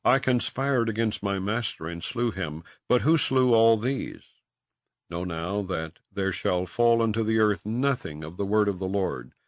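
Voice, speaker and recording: A man with a deep voice narrates old-fashioned King James scripture. His delivery is solemn and dramatic, moving widely in pitch as he tells the story. The sound is close and dry, with very little room in it. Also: no echo. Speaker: audiobook reader.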